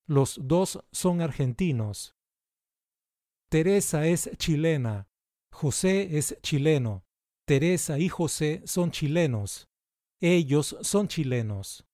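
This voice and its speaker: A man reading out simple practice sentences in a slow, deliberate, evenly paced way, like a language lesson recording. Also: fairly high pitch, dark tone, no echo, studio quality. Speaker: language teacher. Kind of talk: slow scripted language drill.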